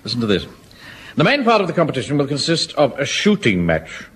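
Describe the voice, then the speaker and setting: An actor playing a pompous, fussy middle-aged bank manager who commands a home guard platoon, in an old radio comedy. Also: male voice, medium pitch, huge pitch swings, a little fast. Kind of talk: 1970s radio comedy acting. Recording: old radio recording with loud hiss.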